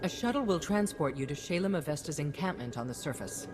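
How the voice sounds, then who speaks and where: A woman's voice: low voice, expressive, a little fast, over music. A woman playing a commanding head of state in a video game, speaking in a firm, oratorical way. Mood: resolute. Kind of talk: scripted video game acting.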